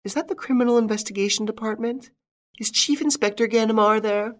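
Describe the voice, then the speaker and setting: A man voicing a half-demented, vengeful older widow who shrieks high in panic and hisses in harsh whispers. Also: fairly quick, a bit bright. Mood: urgent. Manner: shrill, stammering, then harsh whisper.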